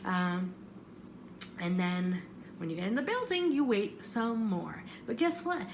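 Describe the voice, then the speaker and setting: Female vlogger, casual and digressive, gravelly fry, self-interrupting, with clear background noise. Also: mid-range voice, expressive, a little slow. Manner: self-interrupting, repeats phrases for emphasis. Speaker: vlogger giving audition advice. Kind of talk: casual rambling advice chat.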